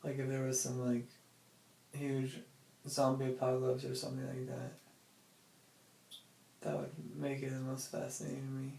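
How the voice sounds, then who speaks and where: A young adult man recording a casual, rambling audio diary, musing in a halting, hesitant way. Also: medium pitch, monotone, gravelly, warm tone. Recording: very echoey room, faint noise.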